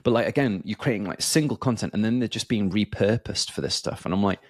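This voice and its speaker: Deep-voiced man chatting on a podcast. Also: fast, no echo, clean studio sound. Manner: rambling, filler-heavy, many restarts. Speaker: podcast host. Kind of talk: casual chat.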